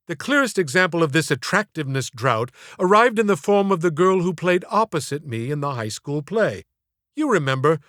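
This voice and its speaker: A man reads a comic audiobook in a fairly high-set voice. His delivery is theatrical and wry, with mock-formal phrasing. The sound is very dry, free of room reflections. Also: a bit bright, no echo. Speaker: audiobook narrator and author. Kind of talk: comic scripted storytelling narration.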